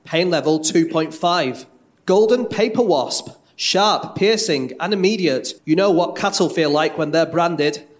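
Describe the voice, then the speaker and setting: Highish voiced guy, dramatic live reading of little poetic lines. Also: animated, a little slow. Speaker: live event reader.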